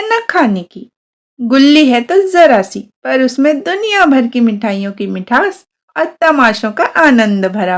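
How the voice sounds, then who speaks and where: A woman narrates a short story in a fairly high voice with very lively, swinging intonation. Her delivery is unhurried and expressive, as in an audiobook reading of classic literature.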